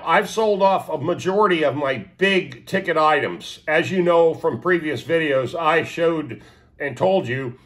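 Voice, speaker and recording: Man in his 60s, slightly high voice, sniffly and stuffed-up, rambling vlog delivery with lots of "uh"s. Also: fairly bright tone. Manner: rambling, many fillers and restarts. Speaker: vlogger. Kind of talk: casual talk to camera.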